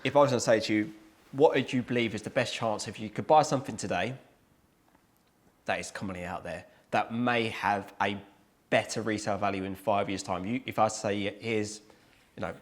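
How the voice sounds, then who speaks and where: Quick, low-voiced male host. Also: fairly even intonation. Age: 30s. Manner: chatty, loose questioning. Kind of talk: casual interview.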